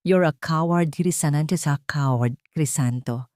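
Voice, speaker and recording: A woman performs a wronged wife and mother in a romantic audio drama. She keeps calm and controlled, with a lowish voice and cutting, hushed delivery. The sound is close and dry. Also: measured pace, no room sound. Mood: cold, scornful.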